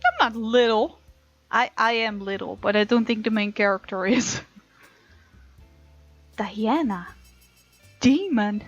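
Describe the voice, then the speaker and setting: High-voiced woman doing a sassy let's play. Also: slow, long pauses, no echo. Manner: animated, sassy reactions, dramatic reading. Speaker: gaming channel host. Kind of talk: let's play, reading game text aloud with reactions. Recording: slightly dull, lo-fi, game music behind. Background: soft game music.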